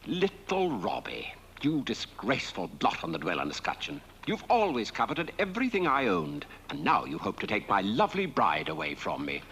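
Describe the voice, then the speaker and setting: Creaky, swooping, gloating dead husband. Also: male voice, medium pitch, wildly swooping pitch, a bit bright, heavily acted. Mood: mocking. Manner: mocking, theatrical, drawn-out phrasing. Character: gloating dead husband taunting his rival from a tape. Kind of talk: 1970s horror-comedy film acting. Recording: faintly noisy old film soundtrack.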